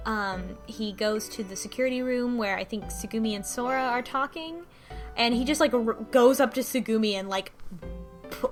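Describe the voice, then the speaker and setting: A woman co-hosting a podcast, giving a casual, rambling recap with animated retellings and music behind her. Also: a little high. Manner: animated retelling with mock quotes. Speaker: podcast co-host recapping a game.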